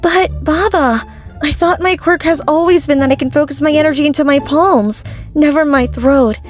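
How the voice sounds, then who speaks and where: A woman playing an eager, wide-eyed young girl in an animated comic dub, full of questions. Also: high voice, normal speed, faint music behind, close to an everyday voice. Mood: puzzled.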